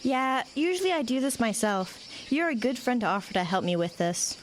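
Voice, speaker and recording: A woman's voice: fairly high pitch, big pitch swings, normal speed, a little hiss or hum. A woman playing a friendly, easily alarmed horse-riding girl in an audio drama. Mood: friendly, chatty.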